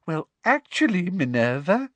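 A smug, toad-like woman official , put on by a man, simpers in a high voice. Every phrase is sickly sweet with poison underneath, delivered as storybook character acting. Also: drawn-out words, a little mellow, dry recording, full character voice. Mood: smug simpering.

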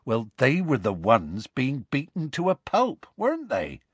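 Gruff, rustic big fellow. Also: male voice, on the low side, sing-song, a little slow, a bit bright, no echo, full character voice. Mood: earnest explaining. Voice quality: gruff, growly. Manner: colloquial, rambling, dropped consonants. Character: big gruff rustic man, warm-hearted, indignant storyteller.

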